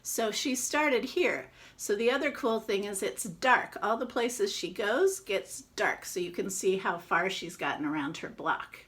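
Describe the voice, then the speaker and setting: A woman reading a picture book aloud to children, expressive, with casual chatty asides to explain it. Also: medium pitch, a little slow, few pauses. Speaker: children's read-aloud presenter.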